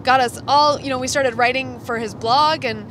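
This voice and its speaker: A woman's voice: high-pitched, fairly even intonation, moderate pace, a bit bright, a little hiss or hum. A woman with an American accent co-hosting a podcast in an enthusiastic, chatty way. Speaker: podcast co-host. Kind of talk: casual podcast chat. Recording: music behind the voice. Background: music.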